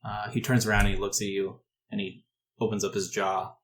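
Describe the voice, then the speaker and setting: A man's voice: fairly low pitch, level tone, fairly quick, a little hiss or hum. A man improvising descriptive narration as game master of a tabletop game.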